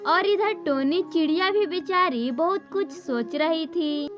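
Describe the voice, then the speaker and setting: Very high-voiced woman narrating a children's story. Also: unhurried, music in the background.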